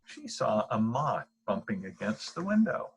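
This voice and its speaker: An older man with a husky voice reading a children's bedtime story aloud. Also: fairly low pitch, sing-song, a little slow, a little hiss or hum. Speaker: storybook reader.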